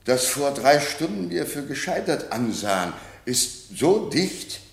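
An actor playing a plain, loyal sailor reporting to his king in a classic radio play, with emphatic, deliberate delivery. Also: medium pitch, sing-song, vocal fry, roomy sound. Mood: relieved, eager.

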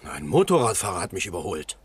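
An actor playing a plain-spoken, hesitant village cattle-barn worker in a German radio crime drama. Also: male voice, deep voice, huge pitch swings, quick pace, husky, heavily acted. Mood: matter-of-fact. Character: village cattle-barn worker, startled but helpful witness.

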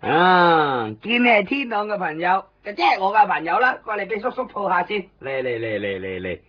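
Cheeky, eager-to-help young man, Hong Kong Cantonese, highish voice, slangy radio drama delivery, dull recording. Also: normal speed. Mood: teasing. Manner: teasing, animated, slangy. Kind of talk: 1990s radio drama acting.

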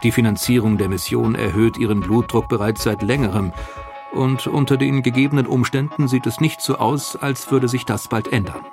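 A man narrating a history documentary in a calm, even, scripted way. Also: medium pitch, normal speed, over music.

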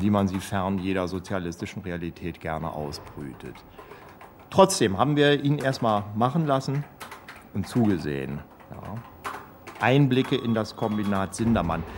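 An actor playing a guarded, condescending former state security officer, speaking hesitantly and matter-of-factly in a mock documentary interview. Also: male voice, fairly low pitch, slight background noise. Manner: hesitant, dry, matter-of-fact.

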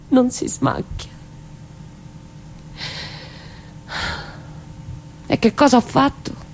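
An actress playing a weary, embittered mother living in hiding, in a theatrical dramatic monologue. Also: fairly high pitch. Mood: indignant, anguished.

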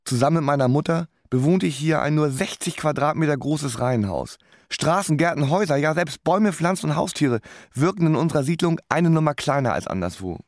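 A man's voice: a little high, lively intonation, fairly quick, no echo. An actor playing a self-deprecating young man stuck in life, narrating his story in a radio drama with wry self-mockery. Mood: wry, resigned. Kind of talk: first-person scripted radio drama narration.

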